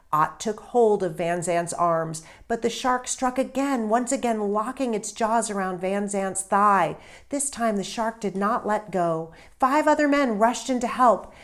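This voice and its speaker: A woman author reading a story aloud in an expressive, deliberate narrating style with emphatic stresses. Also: fairly low pitch.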